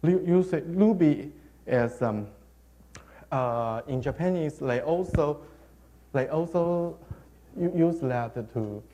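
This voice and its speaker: A man giving a technical conference presentation on web standards. He speaks in a hesitant, halting way, restarts often and uses non-native phrasing. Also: a little high, warm tone, a little hiss or hum.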